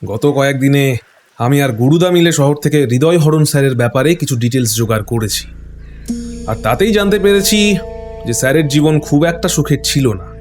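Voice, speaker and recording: A man's voice: medium pitch, a little fast, a little mellow, soft background music, lightly acted. A man playing an earnest, deferential junior investigator reporting to his superior in a horror audio drama. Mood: earnest, sombre.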